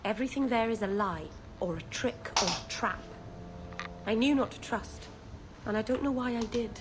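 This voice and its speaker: A woman voicing an earnest, soft-hearted young elven mage full of remorse in a video game. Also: a little high, moderate pace, noisy background, lightly acted. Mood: rueful, earnest. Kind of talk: video game character dialogue.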